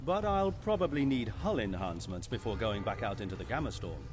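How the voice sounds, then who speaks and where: An actor playing a willing space pilot hero in a game, casual and confident. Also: male voice, on the low side, lively intonation, brisk, faint music behind, lightly acted. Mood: willing, determined.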